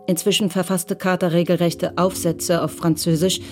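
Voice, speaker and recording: A woman narrates a crime radio drama in a calm, measured style. Her voice sits a little low, and music plays under the studio recording.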